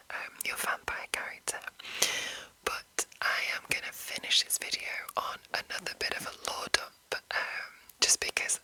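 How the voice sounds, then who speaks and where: A woman whispering in a soft, breathy, unvoiced voice as an ASMR host, rambling gently and reading passages aloud. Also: low-quality recording. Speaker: ASMR host introducing a roleplaying game.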